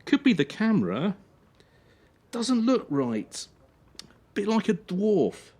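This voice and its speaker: An actor playing a cold, patronising gymnastics coach in a radio drama, officious and insinuating. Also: male voice, high voice, sing-song, slow, darkish tone, breathy edge, exaggerated voice. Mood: callous.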